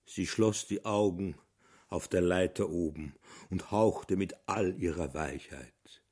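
An actor playing a deliberate storyteller in a literary radio drama. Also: male voice, sits low, a little mellow, breathy edge, heavily acted. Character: deliberate, deep-voiced male storyteller. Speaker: narrator.

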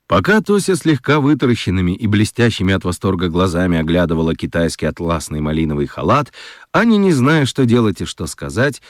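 A man narrating a children's radio play in an expressive storytelling style. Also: low-pitched, dry recording, studio quality.

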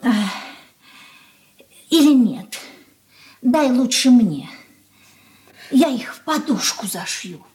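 A woman's voice: on the high side, lively intonation, takes it slowly, long pauses, darkish tone. An actress playing a fussy, timid merchant-family mother in a 1980s Soviet radio play, homely and fretful. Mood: fretful, hushed.

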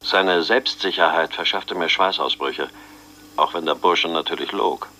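A man's voice: low-pitched, measured pace, pushed and bright, slight background noise, full character voice. A man narrating his memories in the first person as a detective, in a reflective, wry tone.

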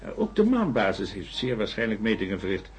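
An actor playing a sceptical male scientist with a dry, measured way of talking in a Dutch radio drama. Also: on the low side, huge pitch swings, soft background music. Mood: keen, intrigued. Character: Walter, sceptical male scientist warming to a theory.

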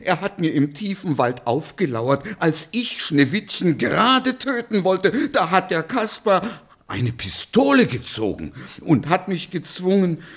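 An actor playing a timid, wavering huntsman in a radio play, husky and gravelly, with a hesitant, servile manner. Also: sits high, sing-song, measured pace, heavily acted. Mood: pleading. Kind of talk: German radio play acting.

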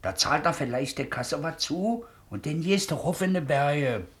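An actor playing a kindly, weary middle-aged ex-convict shoemaker, gentle and colloquial, in a 1950s radio play. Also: male voice, fairly high pitch, animated, normal speed, close to an everyday voice. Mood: gentle, reassuring. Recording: 1950s radio drama recording.

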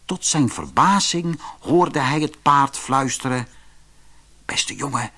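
A man with a Dutch accent from the Netherlands reading a fairy tale aloud in expressive, scripted narration, with a slightly husky voice. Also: a little high, slow pace. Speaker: audiobook narrator.

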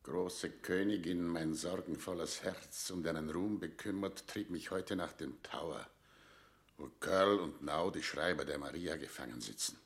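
Deep-voiced, grave noble counsellor. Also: male voice. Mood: solemn, troubled. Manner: solemn, measured, dramatic declamation. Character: grave, loyal, anxious English noble counsellor. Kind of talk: classical German stage verse in radio drama.

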